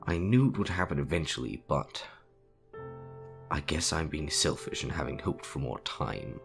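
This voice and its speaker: A man voicing a calm, wise older wizard headmaster in a story reading, measured and reflective. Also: very deep voice, creaky, faint music behind, exaggerated voice. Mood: calm, sober. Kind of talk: dramatic fan fiction audio reading.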